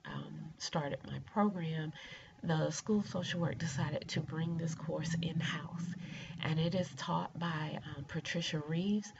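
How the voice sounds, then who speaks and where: Middle-aged US woman, deep raspy voice thick with a cold, halting informal self-introduction, muffled recording. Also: fairly even intonation. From rural Georgia, United States. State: congested with a cold. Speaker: doctoral student introducing herself. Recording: muffled lo-fi video, faint noise.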